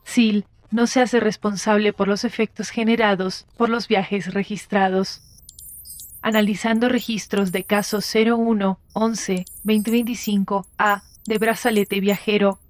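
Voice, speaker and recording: A woman's voice: medium pitch, level tone, measured pace, no room sound, lightly acted. A woman voicing an impersonal computer system that reads out records in a formal, robotic manner for a sci-fi audio drama. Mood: impersonal, official. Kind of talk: scripted announcement.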